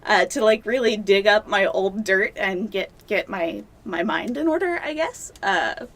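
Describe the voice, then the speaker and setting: A young woman, a doctoral candidate, talking casually in an interview, chatty and self-deprecating with many fillers, seated in a dark studio. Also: medium pitch, lively intonation, unhurried. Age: young adult.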